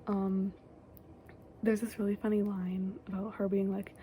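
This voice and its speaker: A young woman giving a casual, chatty recap of a TV episode in a soft whisper, close to the mic, with lots of fillers. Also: mid-range voice, level tone, unhurried, slight background noise.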